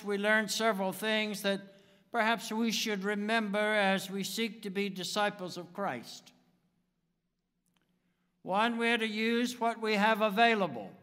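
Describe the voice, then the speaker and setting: An American man in his seventies preaching a sermon from a pulpit in a deliberate, emphatic manner with dramatic pauses. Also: high voice, a bit bright, clean tone. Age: 70s. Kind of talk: sermon with anecdotes. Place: church sanctuary, speaking from the pulpit. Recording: reverberant church hall, long echo.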